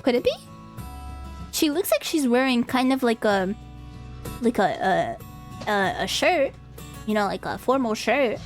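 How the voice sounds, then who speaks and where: A woman's voice: on the high side, big pitch swings, a little slow, long pauses, faint music behind. A woman livestreaming a visual novel, reading the dialogue aloud and reacting expressively in casual talk. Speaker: streamer, VTuber.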